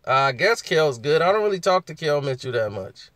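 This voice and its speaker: A man with a husky voice hosting a casual live chat, laughing often and answering viewers. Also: fairly high pitch, very animated, normal speed, a bit bright, no echo.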